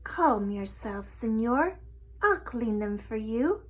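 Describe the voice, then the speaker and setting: A woman's voice: a little high, lively intonation, slow. A woman voicing a young lady cockroach in a storybook, cool, witty and dryly polite. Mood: wry. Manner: dry, coolly polite, mock-sweet. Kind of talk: storybook character voice.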